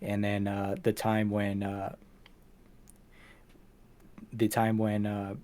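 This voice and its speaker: A man's voice: lowish voice, completely flat delivery, slow pace, a little mellow. A man hosting a casual podcast, talking through things in a rambling, self-deprecating way. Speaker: podcast host. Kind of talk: casual podcast chat, going through show stats.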